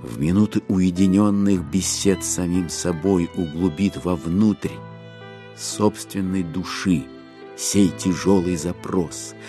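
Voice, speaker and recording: A man narrating a literary audio play in a theatrical, rhetorical and expressive manner. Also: deep voice, slow, over music.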